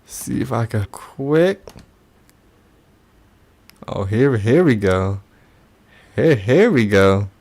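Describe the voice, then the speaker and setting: Mocking man with sweeping, animated pitch. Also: extremely slow, long pauses. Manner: mocking, repeats phrases for emphasis. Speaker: commentary host. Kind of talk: reaction commentary.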